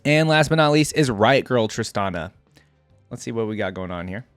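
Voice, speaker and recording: A man's voice: fairly low pitch, lively intonation, moderate pace, faint music behind. A man giving casual, off-the-cuff reaction commentary, thinking aloud in a chatty way with informal slang. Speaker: host of a reaction video.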